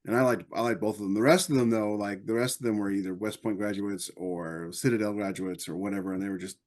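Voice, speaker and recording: A man's voice: on the low side, fairly even intonation, fast, a little mellow. An American man co-hosting a podcast, talking in a casual, anecdotal way. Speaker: podcast co-host, army veteran.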